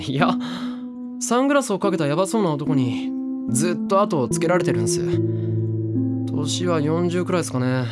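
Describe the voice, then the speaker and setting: An actor voicing a brash young man who loves danger, slightly higher-pitched than most men. He talks in slangy, clipped casual speech, with music playing clearly behind him in this drama CD scene. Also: expressive, normal speed, lightly acted. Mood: uneasy. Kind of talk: drama CD acting. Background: background music.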